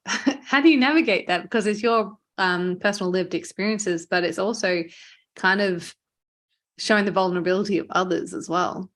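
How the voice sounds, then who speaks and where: A woman's voice: fairly low pitch, fairly bright tone. A woman hosting a podcast, asking interview questions in a conversational style.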